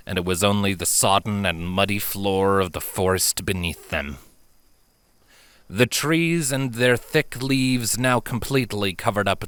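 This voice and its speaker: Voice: sits low, a bit bright, clear, resonant tone, no echo. A narrator reading fantasy fan fiction as a dramatic, scripted audiobook.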